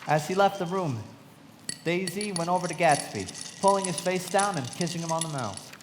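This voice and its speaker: A man's voice: high voice, animated, normal speed. An actor playing a reflective, observant young man narrating his memories with literary care in a live radio play. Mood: reflective, vivid. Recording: reverberant hall with faint noise.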